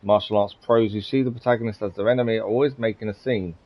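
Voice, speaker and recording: A man's voice: fairly low pitch, steady tone, quick pace, dry recording. A man livestreaming a video game, reading out on-screen text and chatting with viewers. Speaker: live streamer. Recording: slightly dull sound, game music behind. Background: faint game music.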